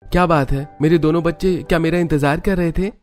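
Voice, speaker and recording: A man's voice: a little high, fairly even intonation, fairly quick, a little mellow, close to an everyday voice. A man playing a kindly, hardworking young father in a cartoon story. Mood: fond, teasing. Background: music.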